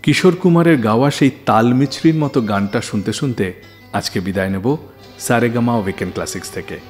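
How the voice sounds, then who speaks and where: A man's voice: medium pitch, brisk, soft background music. A man narrating scripted stories as a radio host, with dramatic storyteller phrasing.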